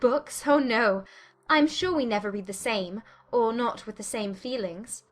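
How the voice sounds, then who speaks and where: A woman playing a witty, spirited young Regency gentlewoman with an arch, teasing manner in a dramatized audiobook. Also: on the high side, unhurried, faint music behind, natural voice. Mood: flustered, playful.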